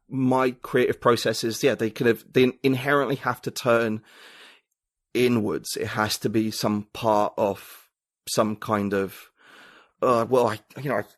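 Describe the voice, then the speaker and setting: A man speaking as a podcast guest, musing and thinking out loud with plenty of fillers. His voice sits at an ordinary middle pitch. The recording is close and dry, with no room sound. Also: dry recording. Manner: reflective, thinking aloud with many fillers. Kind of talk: casual interview conversation.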